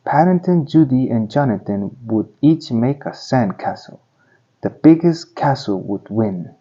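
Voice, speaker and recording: A man reads a picture book aloud for children, taking his time with deliberate phrasing. His voice is soft-edged and mellow, with some huskiness, and the recording sounds a little dull. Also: mid-range voice, expressive, slightly rough. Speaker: children's story reader. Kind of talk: read-aloud storybook narration.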